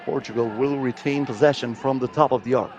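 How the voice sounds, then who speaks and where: A man's voice: medium pitch, steady tone, fairly quick, highs cut off. A man giving live play-by-play commentary on a basketball game as a sports commentator.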